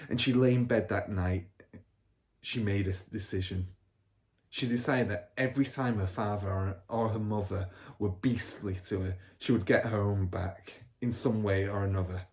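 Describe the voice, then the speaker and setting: A man's voice: fairly low pitch, normal speed, a little mellow. A man reading a children's storybook aloud expressively, like a primary school teacher.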